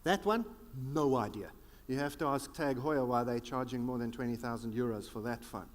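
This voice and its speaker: A South African man giving a conference keynote, with dramatic pauses and a sweeping, emphatic delivery. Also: medium pitch, big-room sound. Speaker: keynote speaker. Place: large conference hall.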